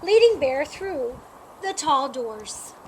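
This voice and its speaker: A woman narrates a picture book for children in a high, bright voice. Her reading is expressive and unhurried, with some noise behind her. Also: rough recording. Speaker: storybook reader.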